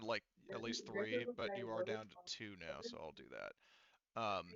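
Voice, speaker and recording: A man's voice: medium pitch, pushed and bright, clear, resonant tone, no echo. A man running a tabletop roleplaying session as game master, talking informally. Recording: slightly dull, dry close recording.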